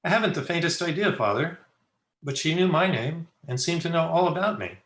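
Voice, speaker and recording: A man playing a kindly, earnest young gentleman in an old-time radio drama. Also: a little high, animated, brisk. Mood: earnest, puzzled. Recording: roomy home video-call audio.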